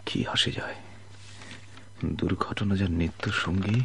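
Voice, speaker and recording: Weak, faltering low-voiced sick sailor. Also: male voice, level tone, slight background noise. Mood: weary, resigned. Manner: halting, repeats words, pleading. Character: sick, exhausted, destitute wandering sailor, humble and secretive. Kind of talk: Bengali radio drama acting.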